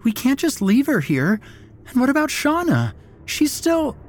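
Man doing a high, distraught young woman. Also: wildly swooping pitch, measured pace, heavily acted. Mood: worried protest. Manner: high, anxious, pleading. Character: frightened, distraught young woman, protesting and caring. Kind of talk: dramatic horror story character acting.

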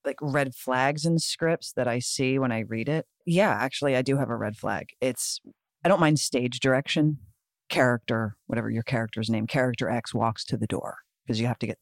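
A woman co-hosts a podcast in relaxed chat, teasing and agreeable. Her voice sits mid-range and the sound is dry and close. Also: rumbling low, gravelly, no room sound. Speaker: podcast co-host, actress. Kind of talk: casual chat.

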